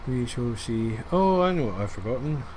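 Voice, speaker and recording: A man's voice: mid-range voice, lively intonation, moderate pace, a little mellow, slight background noise. Rambling man narrating gameplay. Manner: rambling, self-deprecating, many fillers. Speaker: gaming video creator. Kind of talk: casual gameplay commentary.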